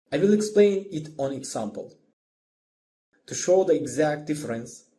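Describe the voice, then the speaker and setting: A man's voice: medium pitch, warm tone, a little hiss or hum. A man narrating a scripted lesson as a music instructor, explaining step by step in simple phrases.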